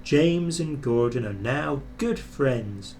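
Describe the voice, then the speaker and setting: A man narrating a children's story in an expressive, scripted reading style. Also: mid-range voice, slow. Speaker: storybook reader.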